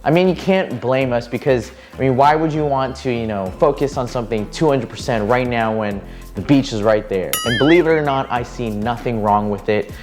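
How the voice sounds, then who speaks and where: A man's voice: medium pitch, normal speed, darkish tone, soft background music. A young man from Hawaii, a real estate agent hosting a casual vlog, talking in an upbeat, chatty way full of "you know" fillers.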